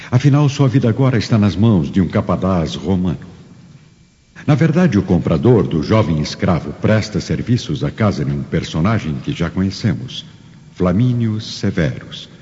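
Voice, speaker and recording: Very deep Brazilian male narrator. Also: big pitch swings, moderate pace, mellow. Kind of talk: dramatic scripted narration of a radio serial. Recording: long reverb, dull, music behind.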